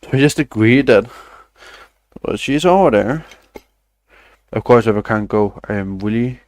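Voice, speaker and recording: Young guy yapping on stream, kinda low voice, swears a bit, dry mic. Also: darkish tone, no echo. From Denmark. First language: Danish. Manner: rambling, swears casually, self-deprecating. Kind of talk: casual stream chat.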